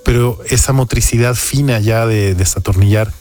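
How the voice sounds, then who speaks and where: A man's voice: medium pitch, normal speed, a little mellow. A man, hosting a jazz radio show with casual, reflective, opinionated music commentary. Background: music playing behind the voice.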